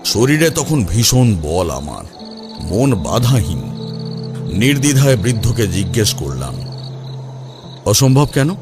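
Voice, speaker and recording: An actor playing a male storyteller who recalls his bold youth in conversational, expressive first-person narration. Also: lowish voice, slow, long pauses, full character voice. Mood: reminiscing. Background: music.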